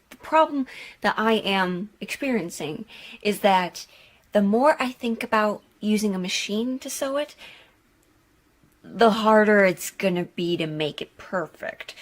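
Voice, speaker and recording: A woman vlogger talks through a sewing project in a casual, candid way. She thinks aloud and rambles from one point to the next. Her voice sits at a medium pitch for a woman. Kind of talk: casual sewing vlog chat.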